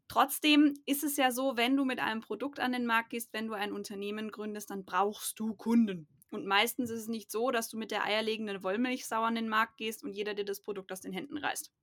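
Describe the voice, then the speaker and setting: A woman's voice: mid-range voice, a bit bright. A woman hosting a business podcast, asking her guests questions in a chatty, informal way. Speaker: podcast host. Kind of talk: casual podcast interviewing.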